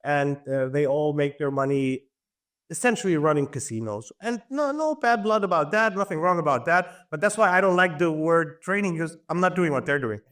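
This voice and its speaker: Man, 30s, relaxed podcast guest, light chuckles, fairly high pitch. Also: moderate pace. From Germany. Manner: easygoing, chuckles often, many fillers. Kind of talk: casual interview talk.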